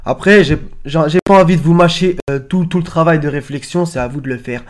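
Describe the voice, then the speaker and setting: A man's voice: on the high side, brisk. A man hosting an online video with informal, rambling commentary on on-screen images, explaining insistently and often trailing off. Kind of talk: informal screen-share commentary on film posters.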